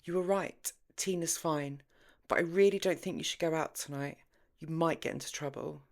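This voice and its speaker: A woman's voice: deep voice, normal speed. Woman acting a grave, disapproving woman friend. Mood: grave warning. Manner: earnest, scolding, cautioning.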